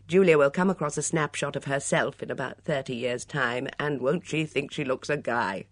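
A woman's voice: very deep voice, moderate pace, dry recording. A woman voicing a cheeky, teasing young man in a story, with a jaunty manner.

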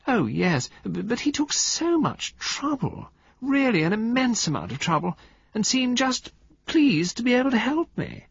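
A sweet, drawling young society woman gushes at everyone around her, as a male reader puts her on. The voice sits high and swoops a lot, a little husky. The recording is dry and dull. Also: very animated, a little slow, no echo, heavily acted. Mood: gushing.

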